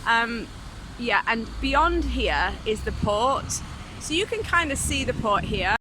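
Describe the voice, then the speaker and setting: A woman's voice: a little high, big pitch swings, slow pace. A woman from the United Kingdom giving a casual walking tour of a city to a handheld camera, chatty and full of ums. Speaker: travel vlogger. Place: outdoors in a city, beach and streets. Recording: outdoor street noise with traffic.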